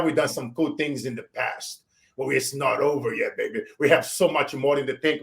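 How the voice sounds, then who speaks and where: A man in his forties with a Brazilian accent, hosting a show and giving emphatic motivational shout-outs. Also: a little high. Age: 40s. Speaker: show host. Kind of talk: motivational interview wrap-up.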